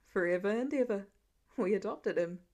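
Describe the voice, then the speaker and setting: Woman doing a fond, weary dad, pitched down. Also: expressive, normal speed, a little mellow, natural voice. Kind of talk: storybook-style character reading.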